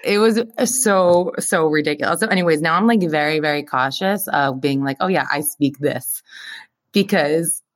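A woman co-hosting a podcast, chatting casually and telling stories in an animated, informal way with plenty of filler "like" and some swearing. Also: deep voice, moderate pace. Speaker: podcast co-host. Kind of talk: casual chat and storytelling.

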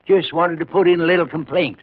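A man's voice: a little high, fairly even intonation, fairly quick, slight background noise, lightly acted. A man playing a folksy, apologetic rancher with a rural American accent and hesitant, deferential manner in a 1950s radio western. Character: apologetic, folksy male Texas rancher with a complaint. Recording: dull, husky old 1950s radio recording.

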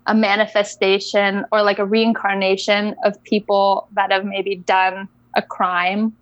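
A young woman from Indonesia, a guest coach telling her story in a casual interview, reflective and unhurried with soft laughs. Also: mid-range voice, little pitch movement, no room sound, highs cut off.

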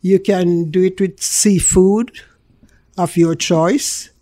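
A woman's voice: on the low side, fairly even intonation, slow, warm tone, no room sound, studio quality. An older woman from Jamaica with a Jamaican accent, chatting casually as an interview guest. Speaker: interview guest, small food business owner.

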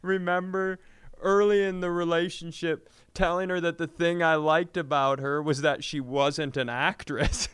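A man's voice: on the high side, steady tone, measured pace, fairly bright tone, clean tone, no echo. A man co-hosting a comedy podcast, telling stories casually in a confessional, self-deprecating way. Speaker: podcast co-host.

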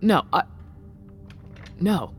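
An actress playing an embattled, proud company founder in an audio drama, controlled and cutting. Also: female voice, drawn-out words. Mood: shaken. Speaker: actor. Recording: music behind the voice.

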